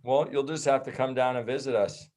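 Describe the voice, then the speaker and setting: A man's voice: mid-range voice, steady tone, a little fast, a little mellow. A man with an American accent talking loosely and jokingly as a guest in a casual interview. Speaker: guest, activist group organizer. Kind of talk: casual chat.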